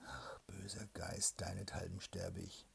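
A young soldier in a storybook tale, played by a man reading aloud, begging for his life in a half-whisper. The voice is deep and gravelly, and a faint hiss runs underneath. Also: level tone, unhurried, heavily acted. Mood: despairing. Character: young soldier, pleading and despairing.

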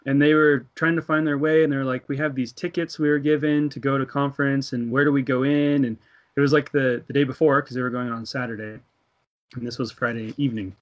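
A man's voice: mid-range voice, steady tone, fairly quick, lo-fi sound. A man in his thirties from the United States, earnestly telling a personal faith story in casual conversation, laughing now and then and acting out the voices of the people in it. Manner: earnest storytelling, laughs between thoughts, acts out dialogue. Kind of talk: casual religious discussion.

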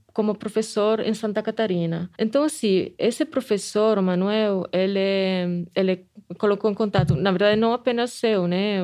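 A woman's voice: medium pitch, a little mellow. Middle-aged woman professor, Spanish accent, reflective, restarts her phrases often. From Spain. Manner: thoughtful, many restarts and tag questions. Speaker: anthropology professor. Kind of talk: reflective interview answers.

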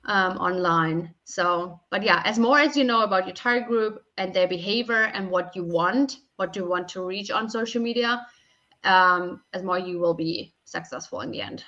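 A woman's voice: on the low side, moderate pace, bright, forceful tone, highs cut off. A young woman gives conversational advice as a podcast guest, feeling her way through her phrasing. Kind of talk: conversational interview answers with practical advice.

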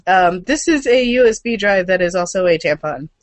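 A woman's voice: lowish voice, lively intonation, normal speed, dry recording. A woman co-hosting a casual news chat, with an irreverent, joking and sweary way of talking. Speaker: show host.

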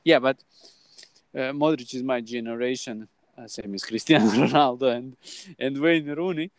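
Croatian man, 30s, podcast host, halting non-native English, mid pitch, dry close mic. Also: dry recording. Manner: halting, many restarts and fillers. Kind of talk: casual podcast chat.

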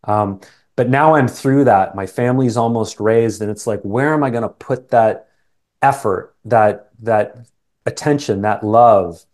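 A man's voice: on the low side, a little slow, darkish tone. Middle-aged Canadian man, earnest and conversational. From Canada, born in England. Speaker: interview guest, sales coach. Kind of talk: casual interview conversation.

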